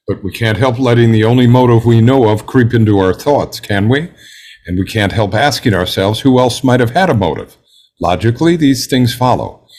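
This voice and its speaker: A man's voice: fairly low pitch, a little mellow. A man playing a logical, composed and stern juror, formal and precise, in an amateur table read.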